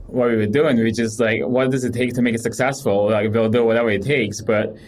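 A man in his thirties from Ukraine, a startup CEO guest telling his story in a relaxed, rambling way with many fillers. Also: mid-range voice, brisk. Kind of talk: casual interview talk.

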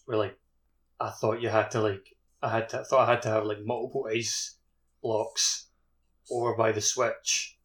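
A man's voice: fairly low pitch, level tone, moderate pace, a bit bright. A man co-hosting a podcast, telling things in a casual, rambling way full of filler words. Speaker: podcast co-host. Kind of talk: casual chat, informal anecdotes and explanations.

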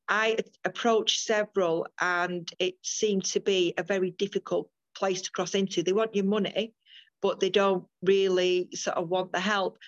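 A woman's voice: medium pitch, no room sound, dull audio. An older woman from the UK, a midwife, talking earnestly about her work as a guest in an interview, with frequent ums and restarts. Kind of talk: interview over a call.

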